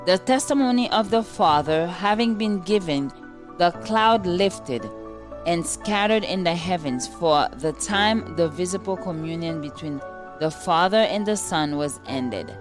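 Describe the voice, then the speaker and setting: A woman reading a scripted devotional aloud as narrator. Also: on the low side. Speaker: devotional reader.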